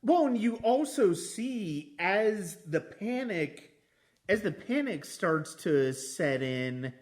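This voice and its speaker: A man in a casual film discussion as a podcast host, speaking haltingly and often circling back to rephrase. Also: high voice, big pitch swings.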